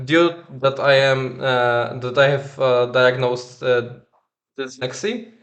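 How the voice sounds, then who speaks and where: A man from Silesia in Poland, whose first language is Silesian, talks in halting English with a Polish accent, pausing and filling gaps as he vlogs. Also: mid-range voice, a bit bright. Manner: hesitant, halting non-native English with frequent fillers. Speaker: vlogger. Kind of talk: casual vlog monologue.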